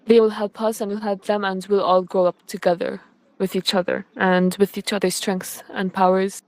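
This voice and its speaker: A young woman from Egypt, raised in the UAE, answers interview questions in a friendly, easygoing way. She keeps her thoughts loose and casual. Her voice sits at a medium pitch and sounds close and dry, with no room echo. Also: little pitch movement, moderate pace. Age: young adult. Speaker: interview guest, university student.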